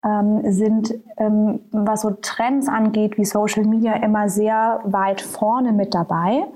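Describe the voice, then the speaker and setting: A woman's voice: medium pitch, little pitch movement, slow, warm tone, poor audio. A woman being interviewed as an author, thinking aloud with frequent hesitations and fillers. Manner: thoughtful, many hesitations and fillers. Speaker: author and guest. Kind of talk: conversational podcast interview.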